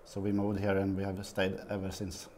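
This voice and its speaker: A Swedish man in his fifties, a guest in a small TV studio interview, talking haltingly with many fillers. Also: low voice, monotone, darkish tone, slight background noise. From Sweden. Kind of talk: casual interview.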